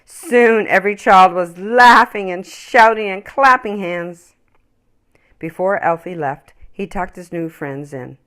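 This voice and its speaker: A woman reading a children's story aloud with expressive, emphatic, dramatic phrasing. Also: medium pitch, slow.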